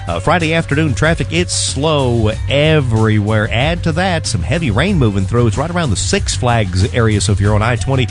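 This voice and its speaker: A man's voice: mid-range voice, animated, normal speed, few pauses. A man giving a live radio traffic report in an informal, conversational way. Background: light music bed.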